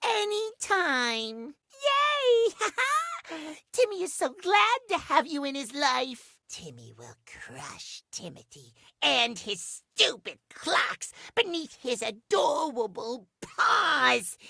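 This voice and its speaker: A manic, self-adoring little cat from a video game, with a bright, gravelly voice that swoops wildly. He is cutesy and vengeful at once, theatrically referring to himself in the third person. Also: very high-pitched, wildly swooping pitch, slow pace, no room sound, clean studio sound, exaggerated voice. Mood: cutesy, gleeful. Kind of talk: video game character acting.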